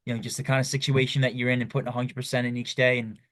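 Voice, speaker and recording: A man's voice: medium pitch, level tone, racing, a bit bright, no echo. A young man hosting a podcast, asking long, loose questions with lots of you-knows. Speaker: podcast host. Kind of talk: casual interview chat.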